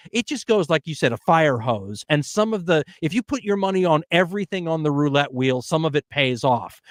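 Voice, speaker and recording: Emphatic middle-aged podcast host. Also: male voice, fairly high pitch, no echo. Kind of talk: casual conversation.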